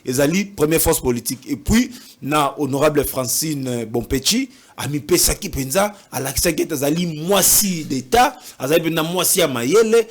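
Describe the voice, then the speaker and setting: A man's voice: on the high side, expressive, normal speed, few pauses. A Congolese man with a Congolese French accent speaks in an earnest, chatty way, switching easily between French and Lingala. His voice sits slightly above the usual male range. He talks like a party official giving an interview. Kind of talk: casual interview talk.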